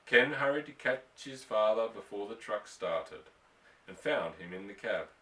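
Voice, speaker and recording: A man's voice: fairly low pitch, lively intonation, unhurried, a bit bright. A man reading a story aloud as an audiobook narrator, in a scripted narration style. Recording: echoey room, distant microphone.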